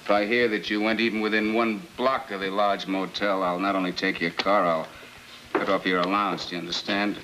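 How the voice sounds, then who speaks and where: A man's voice: sits low, flat delivery, brisk, close to an everyday voice. An actor playing a stern, threatening middle-aged father scolding his son in a 1950s film. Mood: angry warning. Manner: commanding, scolding. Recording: noisy 1950s film soundtrack.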